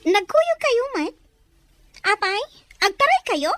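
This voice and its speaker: Actress as prying, teasing young countrywoman, extremely high register, dry close sound, audio drama. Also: very animated, slow pace, a bit bright, no room sound, full character voice. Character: nosy, teasing young village woman. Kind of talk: Ilocano audio drama dialogue.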